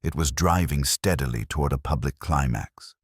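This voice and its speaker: A middle-aged man narrating a mystery story in a measured, literary, suspenseful way. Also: a bass voice, husky, no room sound, studio quality. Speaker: audiobook narrator. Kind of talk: scripted dramatic mystery narration.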